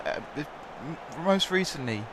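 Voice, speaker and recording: A man's voice: on the high side, lively intonation, a little slow, noisy background. A young man with a sore throat giving rambling, chatty commentary over a football management game. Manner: chatty, rambling, filler-heavy. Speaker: gaming commentator.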